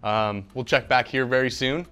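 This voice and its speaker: A man's voice: mid-range voice, steady tone, normal speed, bright, forceful tone, smooth, soft background music. A young man hosting a casual home cooking show in a kitchen, chatting upbeat while talking to a silent mascot beside him, on a lapel mic. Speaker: host.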